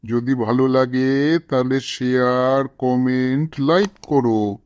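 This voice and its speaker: A man's voice: mid-range voice, steady tone, slow, darkish tone, clear, resonant tone, no room sound, dull audio. A man presenting a scripted sign-off addressed directly to viewers. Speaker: presenter signing off.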